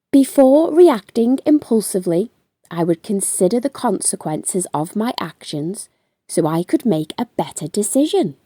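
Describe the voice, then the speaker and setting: A woman narrates a children's storybook in a slow, highly varied voice. Her tone is soft-edged and mellow, and she stretches words for emphasis. The recording is close and dry. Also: no echo. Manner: animated, deliberate storytelling with drawn-out emphasis. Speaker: storytime host reading a children's book aloud. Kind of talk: expressive children's read-aloud narration.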